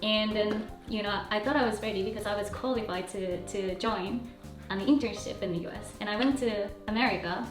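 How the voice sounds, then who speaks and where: A woman who teaches Japanese, a native Japanese speaker chatting in English in a lively way and laughing easily. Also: mid-range voice, fairly quick, hardly any pauses, roomy sound, music in the background. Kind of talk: casual conversational chat.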